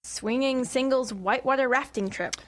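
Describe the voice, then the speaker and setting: A woman's voice: sits high, moderate pace, lightly acted. A woman playing a curious fourteen-year-old girl in an audio comedy drama.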